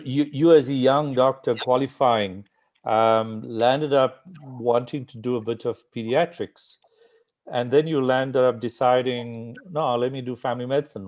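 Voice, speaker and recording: Male interview host, medium pitch, informal and halting, feeling his way through each sentence. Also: a little mellow. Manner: halting, filler-heavy, thinking aloud. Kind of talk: informal interview, welcoming guests.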